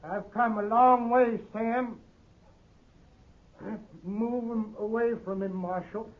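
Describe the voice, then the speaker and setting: Slow, high-voiced vengeful old man. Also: fairly even intonation. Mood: menacing. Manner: slow, grim, stubborn. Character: vengeful old man set on killing his enemy. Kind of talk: 1950s radio western acting. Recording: noisy, tinny 1950s radio recording.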